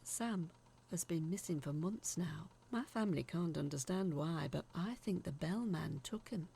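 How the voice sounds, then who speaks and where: A woman telling a spooky first-person story in a dramatic, uneasy, confiding way, sometimes dropping to a hush. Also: lowish voice, a little slow, vocal fry, slight background noise. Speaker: narrator of a horror audio story.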